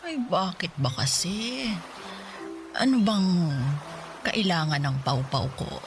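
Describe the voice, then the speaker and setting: A woman's voice: lowish voice, sing-song, takes it slowly, creaky, over music, heavily acted. An actress playing a doting, indulgent girlfriend in a Tagalog radio drama, babying her lover with soothing, cooing talk. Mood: doting, concerned. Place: studio recording with music behind.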